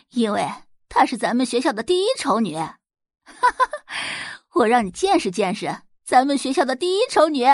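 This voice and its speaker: An arrogant teenage schoolgirl bully, acted out by a woman narrator. The voice is high and swings widely, brash and mocking, in audiobook style with a very dry, close sound. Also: very animated, a little slow, long pauses, no room sound, heavily acted. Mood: sneering, mocking. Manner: brash, sneering, cackling. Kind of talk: audiobook character acting.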